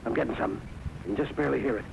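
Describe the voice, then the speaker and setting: An actor with an American accent playing an intent navy radio operator, terse and urgent, in 1940s radio drama. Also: male voice, mid-range voice, racing, gravelly. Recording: noisy 1940s radio recording.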